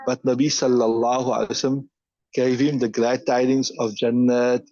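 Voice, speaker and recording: A man's voice: mid-range voice, level tone, normal speed, warm tone, dry recording, lo-fi sound. A man teaching a hadith class, reading each line aloud and then explaining it step by step.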